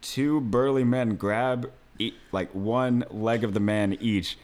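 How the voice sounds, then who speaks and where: A tipsy young man co-hosting a podcast, talking in a relaxed way with laughs and swearing. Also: mid-range voice, takes it slowly. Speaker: podcast co-host. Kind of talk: casual drunken chat.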